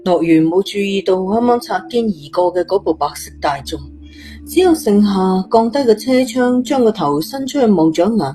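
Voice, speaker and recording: Cantonese lady telling a dramatic story, dark mellow voice, soft music behind. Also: mid-range voice, animated, normal speed. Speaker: audiobook narrator. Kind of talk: dramatic scripted story narration.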